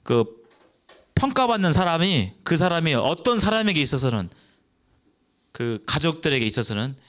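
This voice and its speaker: A man's voice: sing-song, normal speed, a bit bright. Korean male preacher quizzing the congregation. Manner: asks the audience questions and answers them himself; acts out children's voices in a brief high voice. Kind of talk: evangelistic sermon.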